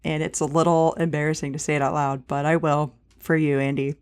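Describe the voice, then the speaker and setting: A woman in her thirties with a fairly deep voice for a woman, a podcast guest chatting casually with plenty of fillers. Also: flat delivery, brisk, darkish tone, smooth. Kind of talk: casual interview chat.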